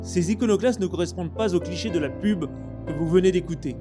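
A man reading a scripted introduction in a measured, declamatory way. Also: high-pitched. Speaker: podcast host. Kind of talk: scripted narration. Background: music.

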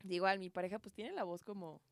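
A woman's voice: mid-range voice, fairly quick, dry recording. Young Mexican woman chatting casually. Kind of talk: casual chat and personal anecdotes among friends.

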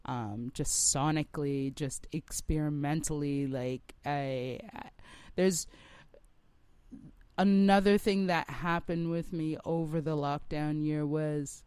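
A woman rock singer speaks slowly as an interview guest. She sounds reflective and feels her way through each thought. Her voice is smooth and clean, recorded close and dry. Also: deep voice, steady tone, darkish tone, no room sound. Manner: reflective, searching for words as she goes. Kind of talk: conversational interview.

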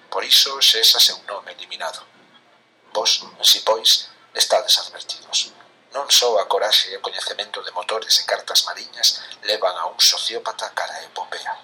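A man's voice: on the low side, sharp tone, a little hiss or hum, poor audio. A man with a Galician accent solemnly declaiming scripted radio drama narration.

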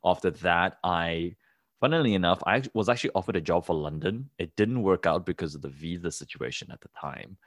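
A man's voice: sits low, animated, brisk, dry recording, clean studio sound. A young man co-hosting a casual podcast, talking in loose rambling sentences with fillers and restarts. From Taiwan. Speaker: podcast co-host.

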